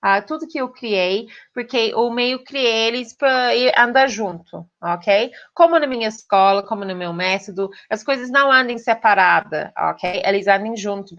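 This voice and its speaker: A woman's voice: medium pitch, a bit bright. Lady teaching pronunciation online, keeps asking "ok?", kinda muffled audio. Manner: direct, addressing students, frequent "ok?" checks. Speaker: English teacher. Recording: slightly dull lo-fi recording.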